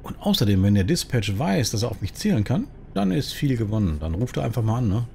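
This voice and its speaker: A man's voice: mid-range voice, big pitch swings, quick pace, warm tone. A middle-aged man from Germany giving chatty, colloquial commentary as host of a truck driving game. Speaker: host of a truck simulator roleplay series. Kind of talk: casual gameplay commentary in character. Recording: clear vehicle noise behind the voice.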